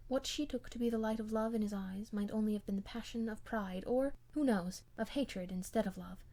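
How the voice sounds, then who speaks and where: A woman reading a classic novel aloud as an amateur audiobook narrator, with expressive scripted delivery. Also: mid-range voice, brisk.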